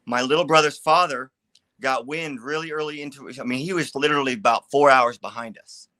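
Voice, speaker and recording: A man's voice: mid-range voice, brisk, bright, forceful tone, dry recording. A man in his forties from California, giving his recovery testimony in a candid, plainspoken way with casual grammar. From California, USA. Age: 40s. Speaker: recovery advocate guest. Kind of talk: personal testimony.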